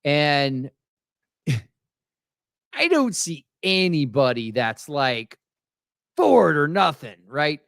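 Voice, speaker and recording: A man from Texas co-hosting a casual automotive industry podcast, talking in an animated way with frequent restarts. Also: a little high, very slow, vocal fry, long pauses, no echo, studio quality. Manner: animated, many restarts, acts out quoted voices. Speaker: podcast co-host.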